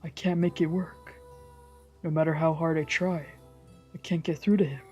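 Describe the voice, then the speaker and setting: Streamer playing a lonely, broken visual novel mother, mid-pitched, hushed and sorrowful, music behind. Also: fairly even intonation, normal speed, dull audio, natural voice. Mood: anguished, regretful. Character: broken, lonely mother confessing in her diary.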